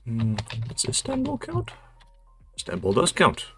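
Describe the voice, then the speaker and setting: A man's voice: medium pitch, wildly swooping pitch, unhurried, a bit bright, slightly rough, faint music behind. A man thinking aloud in casual commentary as he plays a game, musing and muttering to himself. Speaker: challenge player and channel regular.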